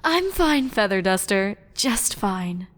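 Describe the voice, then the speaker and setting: A woman voicing a kindly, composed regal pony princess with a gentle, measured manner in a storybook reading. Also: mid-range voice, very animated, no room sound. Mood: composed, resigned.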